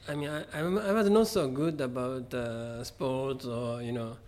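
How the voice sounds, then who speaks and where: A middle-aged man from Japan with a Japanese accent, answering interview questions about his art in a halting way, with many fillers and restarts. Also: mid-range voice, darkish tone. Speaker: visual artist, interview guest. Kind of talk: conversational interview.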